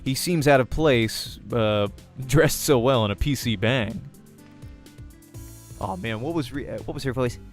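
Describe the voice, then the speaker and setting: A man's voice: medium pitch, a little slow, soft background music. A man reading a visual novel aloud as a let's-player, narrating the game story expressively.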